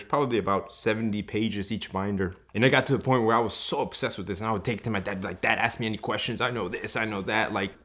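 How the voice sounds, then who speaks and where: A man, telling a host the story of his life as a guest, eager and full of "you know" and quick restarts. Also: on the low side, a bit bright. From Ecuador. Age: 30s.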